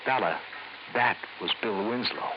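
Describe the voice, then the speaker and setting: A man's voice: lowish voice, expressive, measured pace. A man acting as a slippery, defensive suspect in a 1950s radio drama, insistent and evasive. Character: slippery, defensive male suspect pointing blame elsewhere. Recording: muffled, noisy vintage radio recording.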